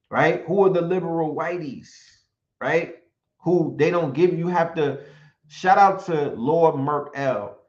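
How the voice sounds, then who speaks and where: Emphatic New man in a roomy space. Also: a little high. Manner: animated, emphatic, repetitive rhetorical questions. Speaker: commentator. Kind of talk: monologue commentary mixed with reading from notes. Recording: roomy, dull lo-fi sound.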